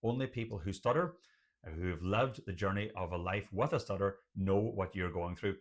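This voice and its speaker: A man's voice: deep voice, moderate pace. An Irish man presenting motivational advice to camera, speaking emphatically and building points through repeated lists. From Ireland. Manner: emphatic, repetitive listing. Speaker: video host, doctor and stuttering advocate.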